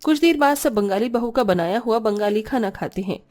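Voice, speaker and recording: A woman's voice: a little high, lively intonation, brisk, a little mellow. A woman reading scripted narration for a story as its narrator.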